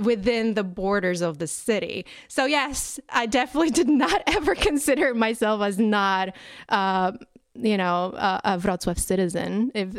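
A woman's voice: medium pitch, no room sound, clean studio sound. A woman in her thirties, born in Poland, talking as a podcast guest, chatty and laughing often. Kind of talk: conversational interview.

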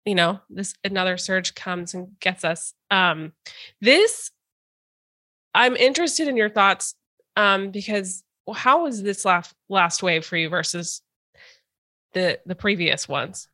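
A woman's voice: mid-range voice, slow, bright, forceful tone. A woman hosting a casual interview podcast, chatty and hesitant with many fillers and restarts, a little stuffy from a cold. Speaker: podcast host.

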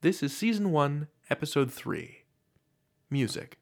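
A man's voice: a little high, very animated, measured pace, creaky. A man hosting a podcast, reading a scripted introduction in an earnest way with theatrical emphasis. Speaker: podcast host.

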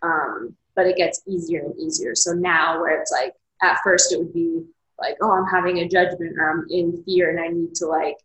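A woman's voice: lowish voice, steady tone, normal speed, a bit bright, echoey room, poor audio. A woman author and coach talking candidly in an interview, reflective and open, with lots of "like" fillers. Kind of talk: casual interview conversation.

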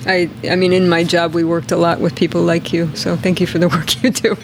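High, flat-voiced man author. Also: fairly quick, crowd noise. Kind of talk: book talk conversation. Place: bookstore event.

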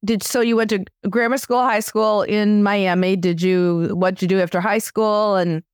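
A woman's voice: mid-range voice, fairly even intonation, normal speed, clear, resonant tone, dry recording, studio quality. A woman hosting a podcast interview, chatty and encouraging, often drifting into digressions. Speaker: podcast host. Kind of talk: casual interview chat.

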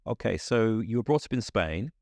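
Male interviewer, deep voice, short encouraging prompts. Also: expressive, normal speed, gravelly, darkish tone, no room sound, studio quality. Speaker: podcast host, interviewer.

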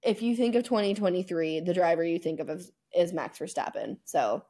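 Mellow-voiced woman chatting casually on a podcast. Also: on the low side, fairly quick. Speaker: podcast host. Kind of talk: casual sports podcast chat, season recap.

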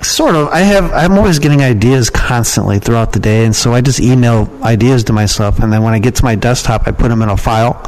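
A man's voice: medium pitch, fast talker, hardly any pauses, a little mellow, low-quality recording. Plain-spoken man chatting casually in an interview. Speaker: podcast guest, email marketer and copywriting trainer.

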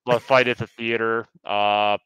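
A man's voice: fairly low pitch, flat delivery, measured pace, fairly bright tone, clear, resonant tone, no echo, rough recording. A man co-hosting a podcast, chatting casually as he reviews a film. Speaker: podcast co-host.

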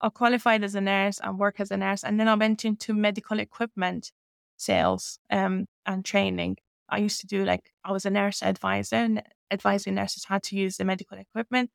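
A woman's voice: medium pitch, fairly quick, creaky, clear, resonant tone, no echo, studio quality. A woman in her fifties who grew up in Iran and now speaks with a mixed Scottish-English accent, talking steadily through her career as an interview guest. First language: Persian. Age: 50s. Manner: fluent, steady telling of her career with fillers. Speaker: guest, company founder. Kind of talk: conversational interview.

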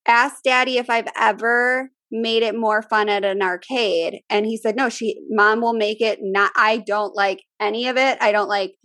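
Midwestern woman in her thirties, podcast co-host, emphatic over-enunciated delivery, higher voice. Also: fairly even intonation, moderate pace, hardly any pauses, fairly bright tone, dry recording. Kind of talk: casual chat.

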